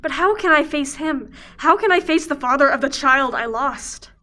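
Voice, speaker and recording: A woman's voice: high voice, lively intonation, normal speed, bright, forceful tone. A young woman acting in a radio play as an anxious, guilt-ridden scientist. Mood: distraught, guilty. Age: young adult.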